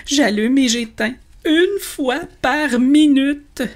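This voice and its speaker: A woman's voice: high-pitched, slow pace. A woman voicing a weary, grumbling lamplighter in a storybook dramatization, with a drawling, complaining manner. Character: weary, grumbling lamplighter, slave to his orders.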